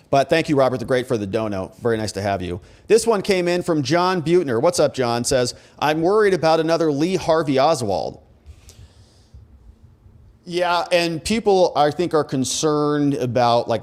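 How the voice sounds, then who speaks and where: American guy doing live commentary, medium pitch, chatty and informal, reading out viewer questions. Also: darkish tone. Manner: informal, conversational, rhetorical questions. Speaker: host, legal commentator. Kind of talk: casual livestream commentary, reading viewer messages.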